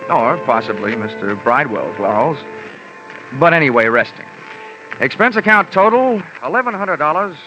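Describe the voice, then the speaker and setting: An actor playing a wry, hard-boiled American insurance investigator in a 1940s radio detective show. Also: male voice, mid-range voice, normal speed, music in the background, lightly acted. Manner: wry, deadpan wisecracking. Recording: dull old radio recording.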